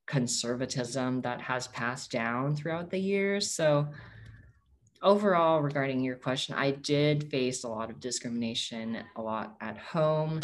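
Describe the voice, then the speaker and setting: A man's voice: medium pitch, slight background noise. A young man whose first language is Tagalog speaks in an earnest, reflective way as an interviewee. His voice sits in an ordinary middle range, and he often restarts sentences as he searches for the right words. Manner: earnest and reflective, with frequent self-corrections. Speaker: interviewee, activist. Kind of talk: answering interview questions.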